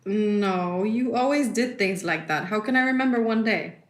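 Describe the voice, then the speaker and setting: An actress playing a nosy, dismissive, teasing middle-aged mother, blunt and quick to cut in. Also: mid-range voice, close to an everyday voice. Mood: amused, dismissive. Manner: blunt, interrupting, mixes in Spanish. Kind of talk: naturalistic audio drama dialogue. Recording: echoey room.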